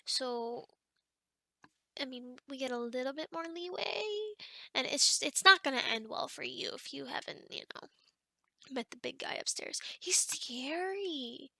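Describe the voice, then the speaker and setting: A woman's voice: high-pitched, bright, forceful tone, dry recording, heavily acted. A woman playing an awkward, chatty young sleep paralysis demon in an ASMR roleplay, rambling hesitantly and often dropping toward a whisper. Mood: earnest warning.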